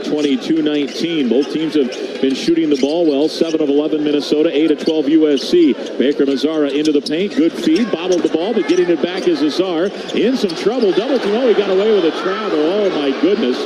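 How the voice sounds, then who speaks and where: A man's voice: a little high, background noise. A man giving live radio-style basketball play-by-play as the commentator, in clipped running descriptions that lift on big plays. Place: basketball arena, courtside.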